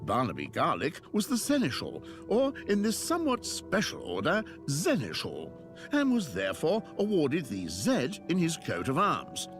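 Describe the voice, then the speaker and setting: Wry priest with swooping intonation. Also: male voice, on the high side, huge pitch swings, moderate pace, over music. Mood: dry, explanatory. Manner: rambling, drily amused storyteller with chuckles. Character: chatty, wry priest and shepherd of a church. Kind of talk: video game character dialogue.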